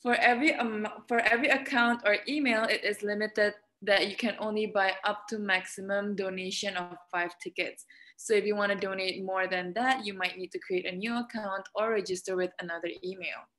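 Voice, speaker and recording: A woman's voice: mid-range voice, fairly even intonation, a little fast, bright, forceful tone. A young woman moderating a live online talk over a laptop webcam, upbeat and reading announcements. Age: young adult. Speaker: moderator host. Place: indoor room. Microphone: laptop webcam on video call.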